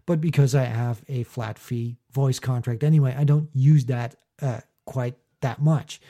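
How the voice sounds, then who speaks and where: Male presenter, mid-pitched and deeply mellow; casual explainer delivery, conversational, dry humour, leans on key words. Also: steady tone, measured pace. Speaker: host, small business owner.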